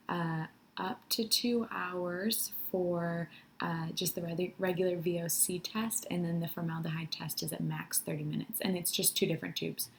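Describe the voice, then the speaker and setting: A woman with an American Midwestern accent and a slightly husky voice, giving a casual product review to viewers. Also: fairly low pitch, a little hiss or hum. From Michigan, USA.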